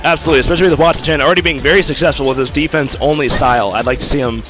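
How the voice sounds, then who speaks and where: Sick dude with a hoarse voice calling a fighting game match, talks super fast, music going behind. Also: male voice, on the high side, steady tone, poor audio. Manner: fast, analytical breakdowns of combos. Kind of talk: casual esports commentary.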